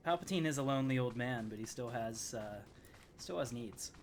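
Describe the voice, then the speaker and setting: A man's voice: mid-range voice, lively intonation, moderate pace, slight background noise. A man streaming a video game, giving casual live commentary as he plays. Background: game music.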